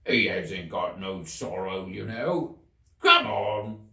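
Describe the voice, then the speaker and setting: A man's voice: sits low, very animated, slow, vocal fry, bright, forceful tone, echoey room, distant mic, heavily acted. A man voicing a lazy, amused storybook griffin who talks in an offhand, colloquial way. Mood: brusque. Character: gruff, uneducated male griffin, lazy and amused.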